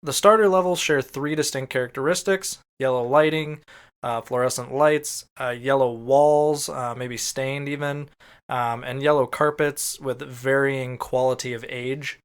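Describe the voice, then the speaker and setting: Guy with a clean clear voice, mid pitch, podcast host explaining stuff and chatting. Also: steady tone, unhurried. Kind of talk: explainer read from notes mixed with casual banter.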